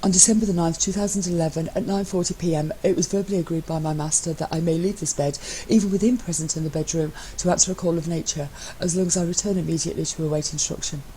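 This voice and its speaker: A woman's voice: fairly low pitch, steady tone, fast, few pauses, warm tone, slight background noise, voice pushed up, close to an everyday voice, rough recording. A woman voicing a cheeky, stubborn, submissive husband in a story reading, reciting things in a pedantic, formal manner. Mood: pedantic trolling.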